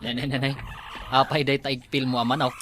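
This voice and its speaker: A man's voice: mid-range voice, level tone, fairly quick, noisy background. A man with an Ilocano accent, playing a nosy neighbor in a comedy drama, chuckling as he talks.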